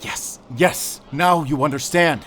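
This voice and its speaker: A man's voice: fairly high pitch, takes it slowly, a bit bright. An actor playing an earnest male captive who argues his case insistently in an audio drama. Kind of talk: cinematic audio drama acting. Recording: faint vehicle noise behind.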